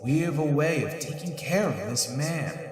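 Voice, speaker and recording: A man playing the grave ghost of a murder victim in a 1940s-style radio drama, solemn, ominous and measured, with heavy reverb. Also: fairly high pitch, darkish tone. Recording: echoing cavernous reverb, faint music.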